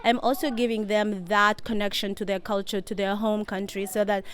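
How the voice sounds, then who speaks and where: A woman's voice: medium pitch, level tone, moderate pace. A woman author, Somali American, speaking earnestly about her bilingual children's books at a library book fair. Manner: earnest, teaching children to count and recite. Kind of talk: interview then reading to children at a book fair.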